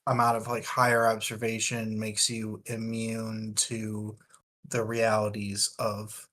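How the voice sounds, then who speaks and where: A man's voice: fairly low pitch, monotone, takes it slowly, pushed and bright. A man talking through ideas in a thoughtful, discursive way in a casual book discussion.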